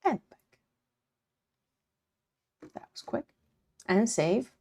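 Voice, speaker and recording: A woman with an Italian accent, streaming as she draws, chatting quietly in murmured asides, her voice slightly croaky today. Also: a little high, expressive, extremely slow. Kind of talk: casual live-stream chat while drawing.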